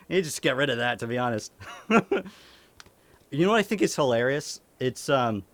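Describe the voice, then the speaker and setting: A man's voice: mid-range voice, sing-song, moderate pace, fairly bright tone, no echo. A young man with a light Maine accent, a streamer casually answering chat questions at home, chuckling often and swearing casually. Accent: light Maine (New England).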